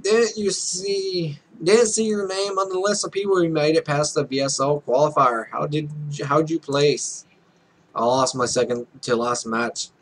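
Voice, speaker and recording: A man's voice: a little high, unhurried, a little hiss or hum, rough recording. A young man reading a visual novel aloud as a let's play host, offhand, stumbling over lines and adding casual, sweary commentary. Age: young adult.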